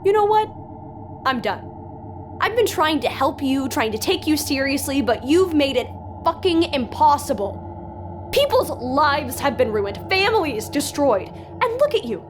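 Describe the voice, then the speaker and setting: A woman's voice: high-pitched, big pitch swings, measured pace, background noise. A woman acting as an earnest, no-nonsense guide in an audio drama, speaking with urgent, stern emphasis. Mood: outraged, scolding. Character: earnest, no-nonsense woman guiding a reckless youngster.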